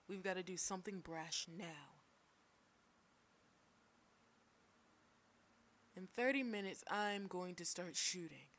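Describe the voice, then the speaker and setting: A woman's voice: mid-range voice, slight background noise, natural voice. A woman playing a smug female mafia boss. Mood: low, menacing. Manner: smug, teasing, commanding. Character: cocky, flirtatious female mafia boss, ruthless planner. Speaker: audio roleplay performer. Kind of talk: scripted audio roleplay.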